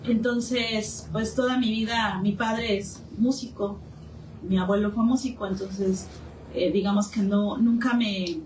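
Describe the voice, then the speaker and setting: A woman's voice: medium pitch, steady tone, unhurried, darkish tone. Mexican woman, community discussion participant, unscripted sharing, roomy echo, faint noise. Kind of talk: spontaneous personal account in a discussion. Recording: echoey room, distant mic, faint noise.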